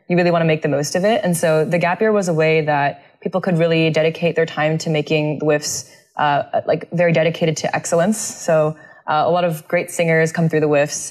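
A young woman talks as an interview guest in an unusually low voice. She explains earnestly and smoothly, with occasional fillers. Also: darkish tone. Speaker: interview guest, a cappella singer. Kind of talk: casual interview talk.

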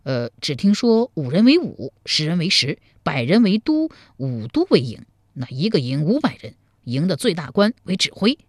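A cheeky young man from an old tale, lazy and sly, who speaks in a lowered, soft, wheedling voice, as a woman narrator puts him on. The reading is dry and close, with no room sound. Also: very animated, moderate pace, no room sound. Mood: teasing.

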